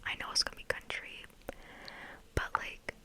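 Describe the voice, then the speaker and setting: A young woman from Texas talks in a steady, airy whisper, as in an ASMR video. Her chat rambles casually and is peppered with "like" and "you know what I mean.". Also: normal speed, low-quality recording. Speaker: ASMR creator.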